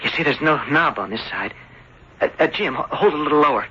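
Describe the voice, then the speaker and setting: An actor playing an earnest, excitable young voyager in an old-time radio drama. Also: male voice, fairly high pitch, quick pace, slightly rough, exaggerated voice. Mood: uneasy. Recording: dull, hissy old radio recording.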